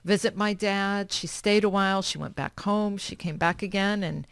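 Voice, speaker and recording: A woman's voice: medium pitch, normal speed, clean tone, no echo. A woman whose first language is German, telling her family history in a radio interview with short, matter-of-fact answers. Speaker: nonprofit founder, interview guest. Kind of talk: radio interview, personal life story.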